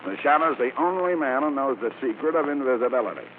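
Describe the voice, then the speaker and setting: An actor playing a gruff, sleepless, sarcastic police commissioner in an old-time radio drama. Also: male voice, mid-range voice, animated, fast talker, phone-quality sound, lightly acted. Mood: grimly determined. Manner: sarcastic, emphatic, grumbling. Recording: scratchy old radio recording, thin, noisy.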